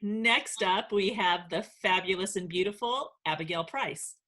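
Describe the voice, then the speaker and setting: A woman's voice: medium pitch, unhurried, fairly bright tone. A woman hosting and narrating a children's read-aloud as its author.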